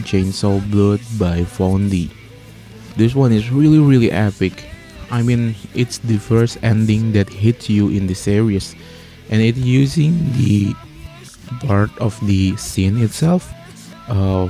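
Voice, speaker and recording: Man with a very muffled, dark voice. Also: fairly low pitch. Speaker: host of an anime music ranking channel. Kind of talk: countdown review voice-over. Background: music playing under the voice.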